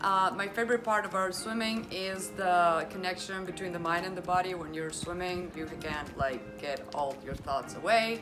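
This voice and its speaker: A woman's voice: on the low side, moderate pace, hardly any pauses. A woman from Mexico casually introducing herself to the camera, recorded off-mic with music playing over her. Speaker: team member introducing herself.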